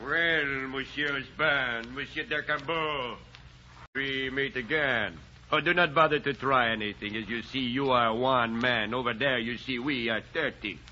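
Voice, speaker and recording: A man's voice: mid-range voice, bright, forceful tone, faint music behind. A man acting a menacing bandit leader in an old radio drama, speaking with formal, mocking courtesy. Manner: formal, mock-courteous, deliberate. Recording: dull, noisy old radio recording.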